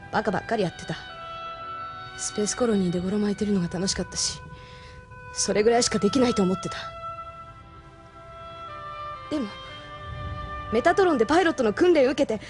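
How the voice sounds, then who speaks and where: An actress playing a tough, frank young female mech pilot and former delinquent with rough, blunt speech in an audio drama. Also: mid-range voice, fast talker, long pauses, natural voice. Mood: earnest, confiding. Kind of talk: Japanese audio drama acting. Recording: music behind the voice.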